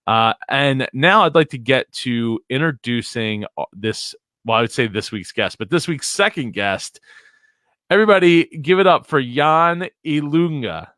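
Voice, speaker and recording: A man's voice: medium pitch, expressive, measured pace, fairly bright tone, dry recording. A man hosting a live podcast show, doing promos and introducing a guest in a rambling, playful way with many fillers. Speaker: podcast host. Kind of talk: live show host chat.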